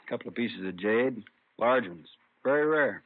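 An actor playing a brisk police detective briefing colleagues, clipped and matter-of-fact, in 1950s radio drama style. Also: male voice, mid-range voice, animated, dry recording, close to an everyday voice. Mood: brisk, serious. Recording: narrow-band old radio recording.

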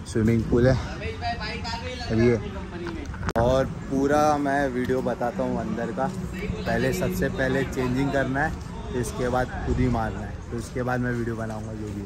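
A man talking casually to viewers as a travel vlogger, mixing in English phrases. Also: mid-range voice. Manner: informal Hindi mixed with English phrases. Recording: noisy outdoor recording with vehicle sounds.

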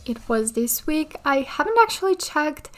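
A woman's voice: high voice, very animated, moderate pace. A woman hosting a gaming video, giving casual commentary on updates and sometimes reading announcements aloud. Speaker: gaming video creator and host. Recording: voice over background music. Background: background music.

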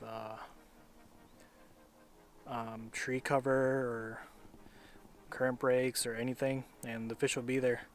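Laid-back Minnesotan guy filming by a river. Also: medium pitch. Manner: relaxed, chatty, many fillers. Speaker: fishing vlogger. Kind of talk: casual outdoor fishing vlog. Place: outdoors by a river. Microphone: head-mounted action camera.

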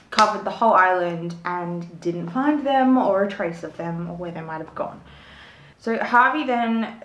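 A young woman tells a mystery story at her desk, chatty and rambling, with lots of "like" and "um". Her voice sits a little low and has a gravelly fry. The small room around her sounds echoey. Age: young adult. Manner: chatty, rambling, many fillers and likes. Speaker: true crime vlogger. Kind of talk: casual storytelling with reading aloud. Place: small home room with shelves of collectibles. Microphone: fixed camera at desk.

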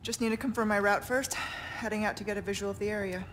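A woman's voice: medium pitch, steady tone, fast talker, a bit bright, a little hiss or hum, natural voice, rough recording. A woman playing a guarded, composed spy in her thirties in a video game, speaking in a clipped, aloof way. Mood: businesslike. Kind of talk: cinematic video game acting. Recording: clear game ambience behind voice.

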